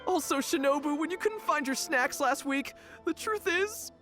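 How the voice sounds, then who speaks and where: Excitable, high-pitched gang underling. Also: female voice, normal speed, music in the background. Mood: tearful, guilty. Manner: earnest, emotional. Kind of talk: video game voice acting.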